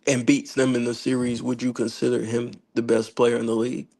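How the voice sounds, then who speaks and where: A man with a gravelly, hoarse voice chats about sports in a loose, slangy way. He rambles and restarts often, pitched in the middle range for a man. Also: little pitch movement, fairly quick, dark tone. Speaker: podcast host. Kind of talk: casual sports chat.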